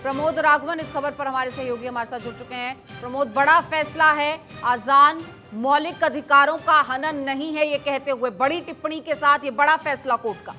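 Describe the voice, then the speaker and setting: Bright, high-pitched woman news anchor over music. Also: fairly even intonation, moderate pace, few pauses. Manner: emphatic, repeats key phrases. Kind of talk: breaking news presentation.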